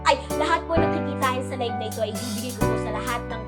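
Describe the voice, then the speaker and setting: A woman's voice: fairly high pitch, fast talker, lightly acted. A young woman with a Filipino accent acting a bubbly, self-absorbed livestreamer on a school stage, exclaiming in breezy Taglish slang and easily flustered. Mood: cheerful. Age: teens to young adult. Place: stage of a school play festival. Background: loud music.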